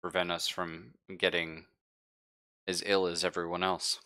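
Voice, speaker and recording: A man running a tabletop roleplaying game, speaking in character with a measured, halting delivery. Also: sits low, flat delivery, pushed and bright, clear, resonant tone. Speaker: game master.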